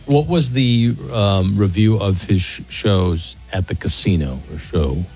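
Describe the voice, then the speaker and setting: A man's voice: on the low side, measured pace, a little mellow, husky, soft background music. A man co-hosting a radio show, chatting easily and asking questions. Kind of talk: casual radio chat.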